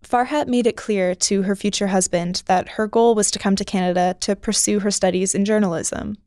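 A woman narrating a documentary-style interview podcast as its host, in a measured, even delivery. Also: medium pitch, dry recording, studio quality. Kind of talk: scripted narration.

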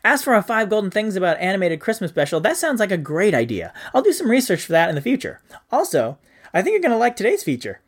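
A man's voice: sits high, wildly swooping pitch, fast talker. A man hosting a podcast, answering listener mail in a playful, casual way. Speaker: podcast host.

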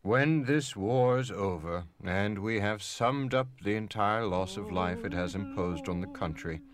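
A man's voice: lowish voice, measured pace, close to an everyday voice. A man playing a stern, formal Civil War-era official declaiming his letters in a dramatic reading. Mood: solemn, measured.

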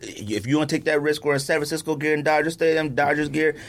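A man's voice: fairly high pitch, steady tone, fast. An American man in casual podcast debate, speaking emphatically in slangy, informal language and repeating himself to drive points home. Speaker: podcast co-host. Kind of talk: casual sports debate between friends.

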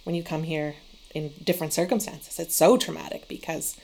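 Woman, from Canada, gravelly, lowish pitch, thoughtful podcast guest. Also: steady tone, fairly quick, a little mellow, poor audio. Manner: thoughtful, earnest, academic phrasing. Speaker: guest migration researcher. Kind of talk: conversational interview.

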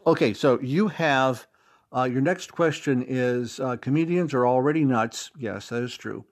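A man giving casual recorded interview answers as a guest, rambling and expressive, with a joking storyteller's way of talking. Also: medium pitch, unhurried. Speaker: interview guest, audio drama writer and actor.

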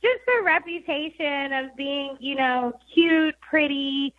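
Young woman, sweet little-girl voice, real high, chatty and giggly, kinda dull lo-fi sound. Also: takes it slowly. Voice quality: sweet, girlish voice. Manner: chatty, laughs often, many fillers. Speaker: guest musician. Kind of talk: casual interview chat.

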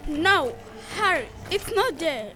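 A woman's voice: extremely high pitch, big pitch swings, slow pace, music in the background, heavily acted. A teenage girl playing an earnest, guilt-ridden young wife in a radio drama, pleading as she speaks. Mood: guilty, sorrowful. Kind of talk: youth radio theatre acting.

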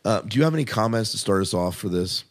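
Low-voiced man hosting a casual podcast. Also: expressive, fast talker. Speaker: podcast host. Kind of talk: casual review chat about TV shows.

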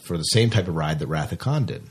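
A man co-hosting a podcast, chatting casually with halting, hesitant phrasing. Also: extremely low pitch, expressive, slightly rough. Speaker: podcast co-host. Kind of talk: casual chat.

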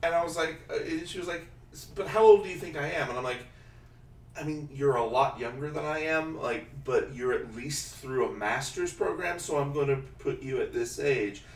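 A man in his thirties in casual chat, animated and laughing often, slipping into exaggerated quoted voices. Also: fairly high pitch, moderate pace. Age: 30s. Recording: roomy echo, distant mic, faint hiss.

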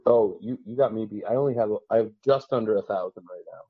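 A man's voice: lowish voice, fast talker, slightly rough, dry recording, narrow, tinny audio. A man from western Massachusetts, chatting casually as a podcast host with lots of fillers. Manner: relaxed, rambling, many "like" and "you know" fillers. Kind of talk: casual conversation about trapping.

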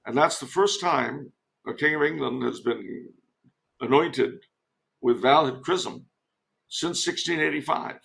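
Creaky-voiced man, wry podcast commentator. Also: a little high, measured pace, fairly bright tone, low-quality recording. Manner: digressive, wry asides. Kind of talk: casual historical commentary in conversation.

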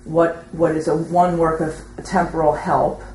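A woman speaking from the audience in a group discussion, halting and tentative as she shares her experience. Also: deep voice, flat delivery, a little mellow. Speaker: audience member commenting at a teaching session. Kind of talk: rambling spoken testimony in a group discussion. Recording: roomy echo with faint background noise.

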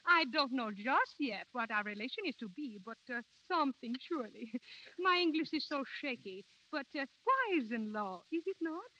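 Temperamental foreign opera diva, high swooping voice, gushy, old 30s radio drama. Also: female voice, animated, moderate pace, sharp tone, muffled recording, full character voice. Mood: gushing. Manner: theatrical, effusive. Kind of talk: 1930s radio acting. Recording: 1930s radio broadcast.

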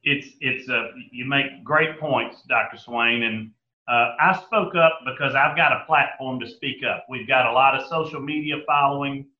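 A man's voice: mid-range voice, moderate pace. A man who owns a restaurant, talking as a guest in an interview and making his case emphatically, with many uh's and restarts. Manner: emphatic, argumentative, with frequent fillers. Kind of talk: interview discussion. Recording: echoey, muffled.

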